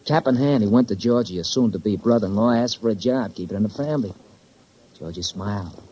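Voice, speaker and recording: A man's voice: lowish voice, fairly quick, dark tone, a little hiss or hum, natural voice. An actor playing a sardonic, embittered young man with an American accent, clipped and slangy, in 1950s radio crime drama style. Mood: bitter, sardonic. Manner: clipped, sarcastic, slangy wisecracks. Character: bitter, sardonic young man, failed lawyer, tough talker. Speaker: actor playing a murder suspect. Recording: muffled vintage 1950s radio recording.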